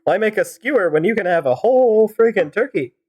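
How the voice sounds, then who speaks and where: A woman's voice: mid-range voice, expressive, fairly quick, mellow, breathy edge. A woman game streamer improvising wry, teasing commentary and joking replies to the characters. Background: game music.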